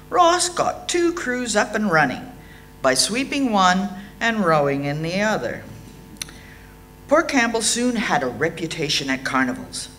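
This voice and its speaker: An Australian woman in her sixties reads a prepared eulogy with measured, dryly humorous delivery. Her pitch moves up and down in a lively way. The recording is made in a hall, with a faint hum and some noise behind her. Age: 60s. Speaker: eulogy reader at a memorial. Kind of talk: reading a prepared eulogy aloud. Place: indoor hall.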